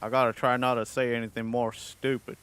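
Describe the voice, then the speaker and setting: A man's voice: medium pitch, little pitch movement, a little fast, a bit bright, clear, resonant tone. British man putting on a Southern cowboy drawl. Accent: put-on American Southern cowboy drawl. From United Kingdom. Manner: self-conscious, profane, playful rambling in a put-on accent. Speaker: livestreamer talking to chat. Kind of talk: casual livestream chat.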